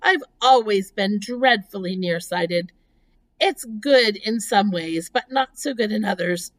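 A woman's voice: medium pitch, expressive, slow pace. A woman voicing a gracious, self-assured female spider in a storybook, with genteel, precise, composed speech. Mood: composed, friendly.

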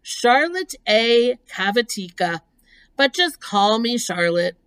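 A woman's voice: a little high, very animated, takes it slowly, fairly bright tone, smooth, pitched above the performer's own voice, full character voice. A woman voicing a gracious, self-assured female spider in a storybook, with genteel, precise, composed speech. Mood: composed, friendly.

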